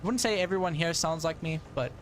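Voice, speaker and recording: A man's voice: on the high side, fast talker, a bit bright, a little hiss or hum. South African man streaming a tank game. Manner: casual, thinks aloud, many ums and restarts. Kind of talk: live gameplay commentary, chatting with viewers.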